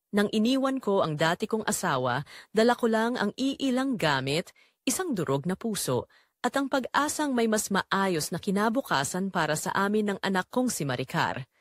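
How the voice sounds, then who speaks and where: A wounded middle-aged mother played by a woman confesses her heartbreak as audio drama narration. Her delivery is earnest and deliberate, with a widely moving pitch and a very dry recording. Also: no room sound. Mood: wistful, sorrowful.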